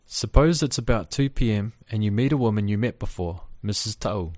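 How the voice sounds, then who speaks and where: Measured man giving language lesson prompts. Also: on the low side, expressive, a little mellow, no room sound, studio quality. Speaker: language course instructor.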